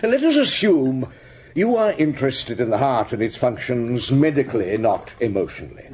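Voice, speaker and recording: Shrewd detective, husky voice, widely swinging pitch, deliberate teasing interrogation, radio drama. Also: male voice, sing-song, darkish tone. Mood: wry, probing. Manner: deliberate, teasing, accusatory questioning. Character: shrewd, probing male police chief inspector.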